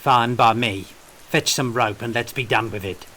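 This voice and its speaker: A man's voice: medium pitch, normal speed, pushed and bright, a little hiss or hum, natural voice. A man voicing a malicious, growling soldier thug in an audiobook story. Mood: malicious, sneering.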